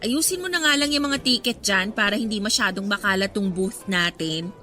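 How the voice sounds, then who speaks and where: An actress playing a good-natured young college woman with a Filipino accent, gently scolding and playful, in Tagalog radio drama style. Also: mid-range voice, moderate pace, lightly acted. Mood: gently defensive. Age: young adult. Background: background music.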